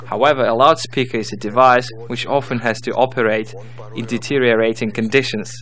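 A man's voice: medium pitch, fairly even intonation, brisk, fairly bright tone, rough recording. A man giving scripted, step-by-step instructional narration as the narrator of a tutorial.

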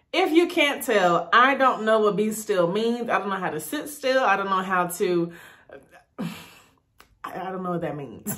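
A woman in her thirties speaks straight to her viewers in a relaxed vlog. She sounds upbeat and encouraging, like a big sister cheering others on. She often repeats words for emphasis. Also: a little high. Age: 30s. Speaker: YouTube vlogger. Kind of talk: casual encouraging vlog talk.

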